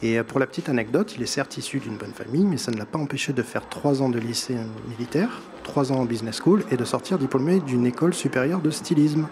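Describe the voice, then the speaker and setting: A man's voice: mid-range voice, fast talker, hardly any pauses, darkish tone, slight background noise. Chatty man with a slight accent. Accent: non-native accent in French. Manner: chatty, enthusiastic, laughs at himself. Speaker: music columnist. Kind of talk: casual live-show chronicle.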